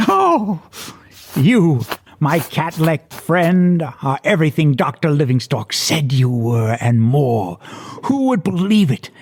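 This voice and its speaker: A man playing an excitable, fussy, middle-aged bird scholar in a radio play, muttering and exclaiming theatrically. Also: mid-range voice. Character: bespectacled tweedy fifty-year-old bird scholar, excitable and fussy.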